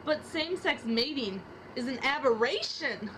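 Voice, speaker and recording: A woman's voice: high voice, lively intonation, normal speed, background noise. A young woman doing a dramatic reading of rap lyrics as a student project, trading rhythmic lines with a partner. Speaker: student reader.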